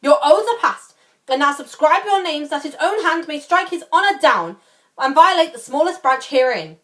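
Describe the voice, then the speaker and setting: A woman's voice: high-pitched, animated, normal speed, a bit bright, pitched above the performer's own voice. A woman playing a solemn, commanding king in a Shakespeare scene, declaiming formally. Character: solemn, commanding young king addressing his lords. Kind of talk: Shakespearean stage acting.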